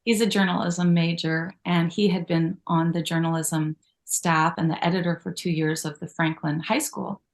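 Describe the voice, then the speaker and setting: A woman's voice: on the low side, steady tone, moderate pace, few pauses. A middle-aged woman, co-founder of a magazine, talking in an interview with a grateful, storytelling manner full of asides. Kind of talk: conversational interview.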